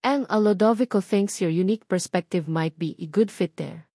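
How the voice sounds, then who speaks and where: A formal female company recruiter acted out by a woman narrator speaks in businesslike phrases. The sound is dry and mid-pitched, as in an audio drama. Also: moderate pace, warm tone, no room sound, natural voice. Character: formal female corporate HR caller.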